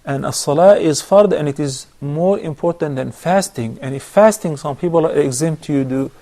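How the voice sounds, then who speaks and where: Soft-toned sheikh giving calm advice. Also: male voice, fairly high pitch. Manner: measured, instructive, lists steps. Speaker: sheikh answering viewer questions. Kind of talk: religious advice on a call-in show.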